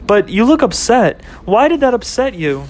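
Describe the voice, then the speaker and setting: A man's voice: extremely high pitch, very animated, moderate pace, a little mellow, voice pushed up. A man voicing a young woman, a flippant girlfriend who scoffs and fires off rhetorical questions. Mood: scoffing. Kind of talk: amateur audio story acting.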